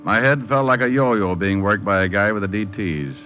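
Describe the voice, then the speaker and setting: An actor playing a cocky, wisecracking hardboiled private detective who narrates with deadpan humor in an old-time radio drama. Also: male voice, on the low side, normal speed, lightly acted. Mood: wry narration. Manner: deadpan, flippant wordplay in narration and dialogue. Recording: muffled old radio recording with music.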